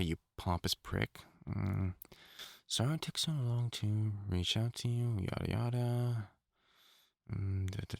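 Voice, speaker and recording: A man playing a gruff but caring, experienced vampire hunter in an audio roleplay, middle-aged, talking informally with dry, teasing asides. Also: on the low side, no echo. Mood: dry, irritable muttering. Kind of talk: intimate audio roleplay acting.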